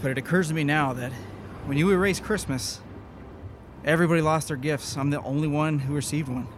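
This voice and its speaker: An actor playing a weary but defiant leader of a science team in an audio drama, with a casual, wry delivery. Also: male voice, fairly high pitch, fairly even intonation, fairly quick, noisy background, lightly acted. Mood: reflective, sincere.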